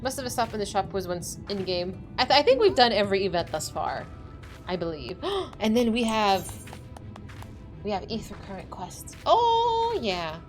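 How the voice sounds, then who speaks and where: Chatty young woman streamer, lively. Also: moderate pace, faint music behind. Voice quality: slightly squeaky. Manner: excitable, chatty, laughing often. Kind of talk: casual chat while playing a game.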